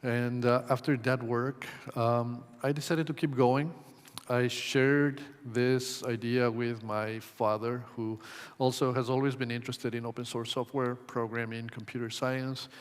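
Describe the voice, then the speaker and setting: A man from Ecuador giving a conference talk in a measured way, with many ums and uhs. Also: medium pitch, little pitch movement, clear, resonant tone. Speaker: conference presenter. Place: conference hall. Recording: long hall reverb.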